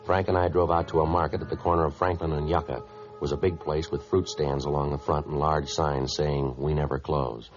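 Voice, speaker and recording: Deadpan radio-drama detective, very low, brisk and clipped, polite but businesslike, vintage recording with music behind. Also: male voice, lo-fi sound. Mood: deadpan, narrating. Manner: deadpan, matter-of-fact, clipped. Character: terse, businesslike, polite male police detective. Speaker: police detective and narrator. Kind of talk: 1950s radio police drama, clipped narration.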